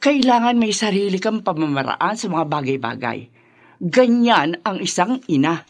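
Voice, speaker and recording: Proud, animated domineering Filipino mother. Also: on the low side, moderate pace, dry recording. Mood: stern, preachy. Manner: emphatic, preachy, boastful. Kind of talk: Filipino radio drama acting.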